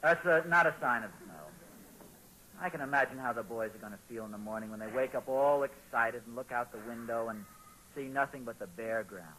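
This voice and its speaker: A man's voice: mid-range voice, a little fast, bright, forceful tone, natural voice. A man playing a hopeful, anxious young father in a 1940s radio sitcom, speaking in a polite, mild, slightly hesitant way. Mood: earnest, polite. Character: hopeful, anxious young father of small boys, storytelling dad. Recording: noisy vintage 1940s radio recording.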